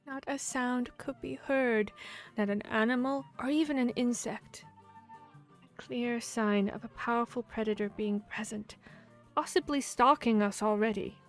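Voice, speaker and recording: A woman playing a boastful, playful vampire hunter in a fantasy audio roleplay, speaking in a theatrical, expressive storytelling manner. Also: on the high side, unhurried. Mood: dramatic, proud. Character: boastful, playful female vampire hunter telling tavern tales. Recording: music behind the voice. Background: background music, tavern ambience.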